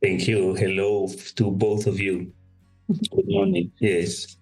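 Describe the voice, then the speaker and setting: A man's voice: deep voice, sing-song, slow, vocal fry, a little mellow, breathy edge, faint music behind, low-quality recording. A man from Colombia with a Colombian Spanish accent, a guest youth worker talking reflectively in a casual interview over a remote call. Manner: reflective, thoughtful, says "you know" often.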